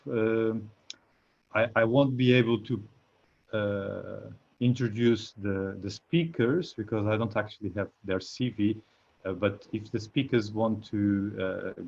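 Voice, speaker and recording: A man's voice: on the low side, vocal fry, a little mellow. A man chairing an online academic panel, speaking hesitantly with many fillers and restarts over a video call. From Portugal. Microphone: computer mic on a video call. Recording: dull lo-fi video call audio.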